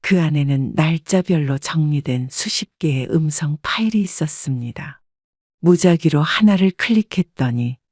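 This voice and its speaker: Measured woman narrating a story. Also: sits low, a little mellow, no room sound, clean studio sound. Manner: measured, subdued reading. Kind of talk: scripted first-person story narration.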